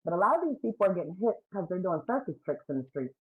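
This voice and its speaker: Middle-aged New woman, deep voice, blunt and talkative, public comment on a video call. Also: very animated, rapid-fire, phone-quality sound. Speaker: community member asking questions. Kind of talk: public comment at a community meeting.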